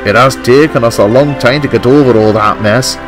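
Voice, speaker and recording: A man's voice: medium pitch, fairly quick, over music, natural voice. A man voicing a blustering, forthright Scottish railway engine in a children's storybook. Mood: forgiving. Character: indignant Scottish male twin tank engines.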